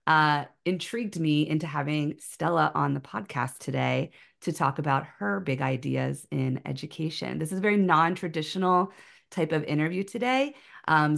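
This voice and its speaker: A woman's voice: sits low, vocal fry. A woman hosting an education podcast, enthusiastic and gushing as she welcomes her guest. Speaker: podcast host. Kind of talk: scripted intro then casual interview.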